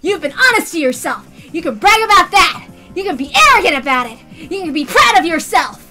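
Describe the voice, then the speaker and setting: Lady doing a teasing schemer boy giving over the top speeches, bright high voice, visual novel read. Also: big pitch swings, a little fast, faint music behind, exaggerated voice. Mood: rousing. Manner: taunting, grandiose, insistent speechmaking.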